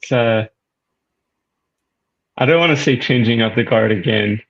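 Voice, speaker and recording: A man's voice: mid-range voice, unhurried. A man co-hosting a casual tennis chat, hesitant and searching for words. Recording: dull lo-fi mic.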